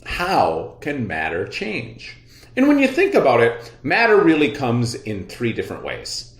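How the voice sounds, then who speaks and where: Slow, very animated male teacher, echoey room. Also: mid-range voice. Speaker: elementary teacher. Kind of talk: read-aloud lesson for young children.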